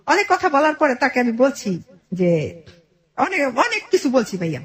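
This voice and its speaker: Woman, middle-aged, Bangladeshi accent, higher-pitched voice, earnest detailed personal storytelling, conversational, quoted dialogue. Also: big pitch swings, moderate pace. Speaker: interview guest telling her life story.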